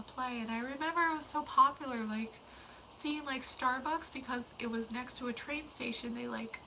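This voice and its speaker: A young woman hosting a casual livestream, hoarse and losing her voice to a cold, chatting in a rambling way that slips into whispers. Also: sits high, noisy background. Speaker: livestream host.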